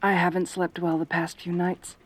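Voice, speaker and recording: A woman's voice: fairly low pitch, flat delivery, normal speed, lightly acted. A woman playing a lonely, possessive centaur girl in an intimate ASMR roleplay, tender and anxious, with a hesitant, pleading manner. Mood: hesitant, gentle.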